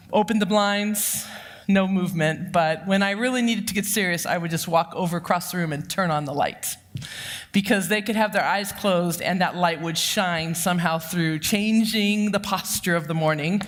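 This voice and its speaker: A woman in her fifties with a loud voice, giving a lively, humorous sermon full of personal stories. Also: fairly low pitch. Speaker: church counseling director giving the sermon. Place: church sanctuary. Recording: long reverb of a church hall.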